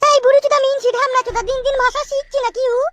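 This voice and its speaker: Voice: very high-pitched, little pitch movement, racing, fairly bright tone, a little hiss or hum. A performer voicing a defiant, quarrelsome young wife in a comic cartoon, whiny and argumentative. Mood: defiant, scornful.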